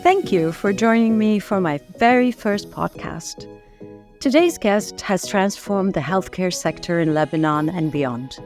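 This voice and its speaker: A woman's voice: on the low side, animated, unhurried, darkish tone, soft background music. A woman hosting a podcast interview, eager and encouraging toward her guest. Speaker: podcast host.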